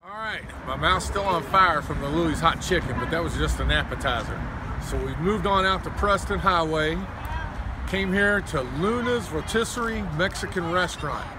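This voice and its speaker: A man's voice: a little high, moderate pace. A man, chatting to camera in a folksy, enthusiastic way as a food vlog host. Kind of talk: casual vlog chat to camera. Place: outside a storefront by a road, then inside a small restaurant. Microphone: camera or phone a short distance away. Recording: noisy handheld recording, traffic and restaurant ambience.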